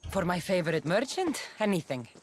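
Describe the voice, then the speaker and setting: A woman's voice: lowish voice, big pitch swings, fairly quick. A woman playing a weary but tender young mercenary mother in a video game cutscene, dry and affectionate. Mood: dry, tired.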